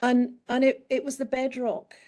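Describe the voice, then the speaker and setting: A middle-aged woman with a British accent, speaking as a guest expert in a panel discussion, answering in a thoughtful, halting way with frequent restarts. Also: sits high, level tone, a little mellow. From United Kingdom. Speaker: guest expert in public health.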